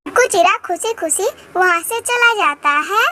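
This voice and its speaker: A woman tells a scripted children's story as narrator. Her voice is very high and bright, in a cartoon storytelling style. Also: lively intonation, normal speed.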